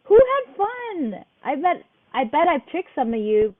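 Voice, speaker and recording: A woman's voice: sits high, big pitch swings, measured pace. A woman leading a game for small children, playfully addressing them directly as she gives instructions. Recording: thin phone-like audio.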